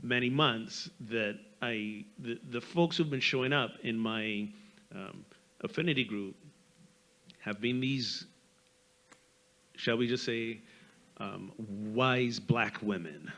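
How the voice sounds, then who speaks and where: Bright-voiced man with a light Barbadian accent. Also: medium pitch, takes it slowly, long pauses. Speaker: panel speaker, minister. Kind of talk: reflective, candid panel talk.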